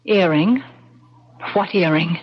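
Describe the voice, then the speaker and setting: An actress playing a skeptical, pointedly patient sister in an old-time radio mystery, speaking in a measured, condescending way. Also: female voice, on the low side. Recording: dull, noisy vintage radio recording.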